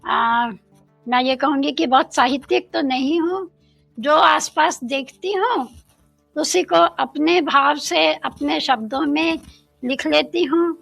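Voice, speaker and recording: An older woman from India, a guest in an interview, speaking in a slow, thoughtful way and mixing in English phrases. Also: high-pitched, gravelly, fairly bright tone, no room sound, soft background music.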